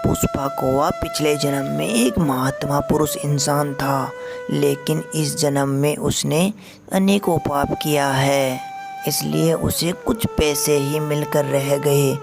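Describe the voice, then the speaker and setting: A woman voicing a wise, solemn eagle guru who preaches patiently in an animated moral story. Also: deep voice, creaky, exaggerated voice. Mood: solemn, instructive. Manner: measured, preaching. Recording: music behind the voice.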